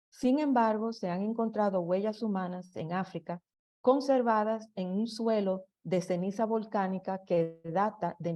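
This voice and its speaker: Slow, halting woman giving a lecture. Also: medium pitch, dark tone. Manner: slow and halting, with restarts and fillers. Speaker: presenter. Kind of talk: slide presentation lecture.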